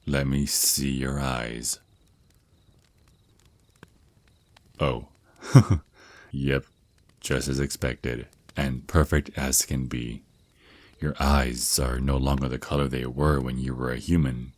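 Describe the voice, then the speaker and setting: A man's voice: very deep voice, flat delivery, slow, no echo. A man voicing an affectionate, protective vampire naga boyfriend in an intimate ASMR roleplay, mentoring his partner with slightly formal wording and small stammers. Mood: admiring, instructive. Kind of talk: ASMR roleplay, intimate one-sided scripted dialogue.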